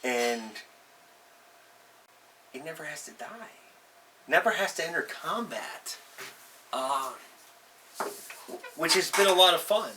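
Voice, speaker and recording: Bright-voiced man giving casual advice, distant mic. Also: on the high side, animated, slow, pauses often. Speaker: host of a tabletop role-playing advice show. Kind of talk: casual unscripted advice talk. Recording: distant mic, faint background noise.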